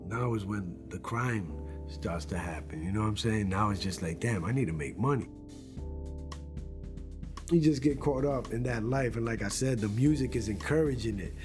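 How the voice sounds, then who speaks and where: Animated man recalling in an interview. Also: fairly low pitch, normal speed, over music. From Rhode Island, US. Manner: animated, conversational. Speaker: interviewee, former rap group member. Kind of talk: documentary interview.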